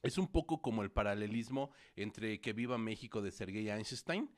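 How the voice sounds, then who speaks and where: A man's voice: on the low side, expressive, moderate pace. A man co-hosting a studio show, talking through films at length with many fillers and restarts. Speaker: co-host film critic. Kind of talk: casual film review chat. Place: studio with producer at console.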